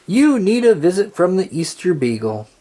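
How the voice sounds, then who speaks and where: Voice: medium pitch, big pitch swings, normal speed, dark tone. A storybook narrator voicing a bossy, crabby little girl with a mocking, teasing manner. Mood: scolding.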